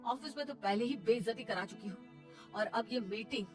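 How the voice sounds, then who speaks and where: A woman's voice: on the high side, fairly quick, soft background music, lightly acted. A woman voicing a stern, exasperated young husband who complains, in a cartoon moral story.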